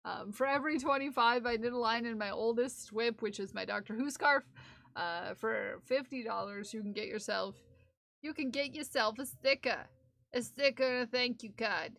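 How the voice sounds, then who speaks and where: A woman's voice: fairly high pitch, moderate pace, gravelly, a bit bright. A young woman casually chatting on a live stream while she knits for charity. She rambles, giggles often and slips into playful bits of song. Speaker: livestreamer knitting for charity.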